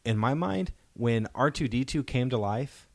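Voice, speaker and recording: A man's voice: medium pitch, animated, measured pace, creaky, a little mellow, no echo. A man hosting a podcast, chatting casually as a fan in an enthusiastic, emphatic way that seeks agreement. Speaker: podcast host.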